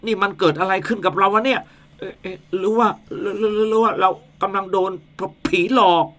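A man's voice: mid-range voice, animated, moderate pace, a bit bright, slight background noise. A man playing a jumpy young village man who grumbles and stammers in a radio ghost drama. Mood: scared.

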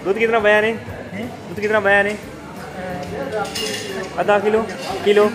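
A man's voice: sits high, flat delivery, unhurried, low-quality recording. A young man from Azad Kashmir, chatting casually and questioning a cook at a roadside hotel. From Azad Kashmir, Pakistan. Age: young adult. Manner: chatty, joking, asks many questions. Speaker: vlogger. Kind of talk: casual travel vlog chat. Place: streets, then a small roadside hotel kitchen. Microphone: handheld camera at arm's length. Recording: clear background music.

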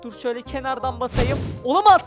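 A gamer giving excited, exclamatory gameplay commentary with role-play, narrating every action aloud in speech. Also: high-pitched, quick pace. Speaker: gaming video creator. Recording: music behind the voice. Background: background music.

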